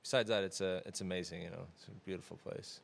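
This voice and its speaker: Low, flat-voiced man, easygoing. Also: quick pace, creaky. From Florida Keys, USA. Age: 30s. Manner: relaxed, rambling with many fillers. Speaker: interview guest. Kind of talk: casual conversation.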